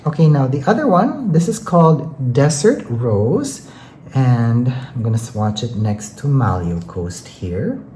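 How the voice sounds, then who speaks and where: Chatty makeup vlogger, androgynous mid voice, soft mellow tone, roomy echo, bit of background noise, says like you know a lot. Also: medium pitch, big pitch swings, slow. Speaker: beauty vlogger. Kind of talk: casual chatty makeup product review.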